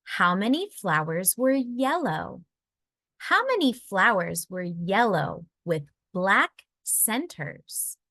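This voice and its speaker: A woman reading a children's picture book aloud in a deliberate, expressive storytelling manner for young listeners. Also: medium pitch, no echo, studio quality.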